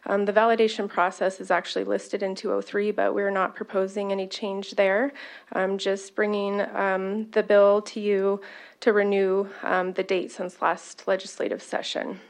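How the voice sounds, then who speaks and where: Woman government finance officer, mid-pitched, loud voice, formal testimony peppered with fillers. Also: level tone, moderate pace, creaky. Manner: loud-voiced, apologetic asides, many "um" fillers.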